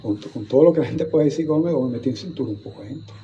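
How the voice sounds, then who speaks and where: A man's voice: mid-range voice, expressive, rapid-fire, dark tone, dull audio, a little hiss or hum. An older man from Venezuela with a Venezuelan Spanish accent, recalling local history in a rambling way, with many restarts and repeated words. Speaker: guest historian. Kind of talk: conversational storytelling in a museum talk. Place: museum gallery, indoors.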